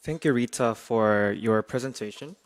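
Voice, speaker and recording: A man's voice: mid-range voice, moderate pace. A man acting as event host, giving short formal introductions of the next speaker.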